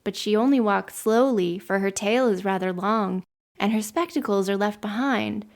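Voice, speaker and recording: A woman's voice: medium pitch, moderate pace, natural voice. A woman voicing a strange, teasing village girl who half speaks and half sings. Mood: eerie.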